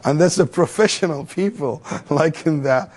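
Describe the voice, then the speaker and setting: A man lecturing a classroom of students, his voice sitting notably high for a man. He keeps things animated, tossing rhetorical questions at the class and breaking in with jokes. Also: moderate pace. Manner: joking asides with students. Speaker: lecturer teaching theology.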